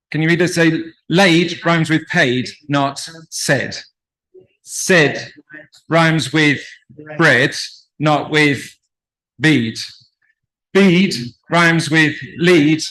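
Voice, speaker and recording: An English-accented man delivers a conference talk in a somewhat high voice. He keeps it engaging and asks the room to read along with him. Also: fairly bright tone, rough recording. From England. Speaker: conference presenter. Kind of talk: conference presentation.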